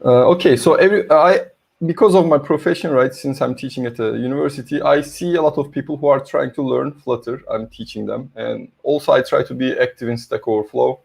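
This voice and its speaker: Gravelly man with a Turkish accent. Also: medium pitch, fairly even intonation, fairly quick. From Turkey. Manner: hesitant, many fillers, friendly. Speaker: conference speaker, university lecturer. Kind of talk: online tech talk presentation. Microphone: video call.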